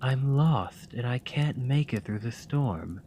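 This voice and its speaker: A man's voice: medium pitch, lively intonation, slow, dark tone, slight background noise, voice pushed up. A man voicing a frightened little lost boy in a storybook tale, pleading through tears.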